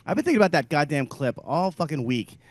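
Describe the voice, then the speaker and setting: A man's voice: fairly high pitch, very animated, fast talker. Profane, rambling male podcast host. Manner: profane, rambling, laughs often. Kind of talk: crude casual chat.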